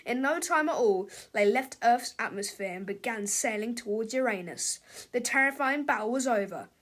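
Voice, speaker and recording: A woman's voice: fairly high pitch, lively intonation, normal speed, a bit bright. A woman reading a children's storybook aloud as narrator, in a dramatic, theatrical manner.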